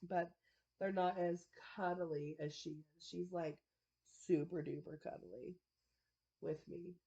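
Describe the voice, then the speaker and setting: A woman's voice: lowish voice, steady tone, takes it slowly, mellow, slightly rough, highs cut off. A woman with a congested, stuffy nose chatting casually to the camera at home, rambling with lots of fillers and frequent little laughs. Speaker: vlogger. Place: at home indoors.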